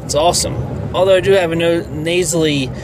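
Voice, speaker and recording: A man with a nasal, congested voice, rambling through a loose solo podcast and swearing freely. His voice sits slightly high for a man. A clear layer of noise runs under the recording. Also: steady tone, fairly quick. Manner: profane and rambling. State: stuffy from allergies. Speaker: podcast host. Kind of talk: casual solo podcast rant.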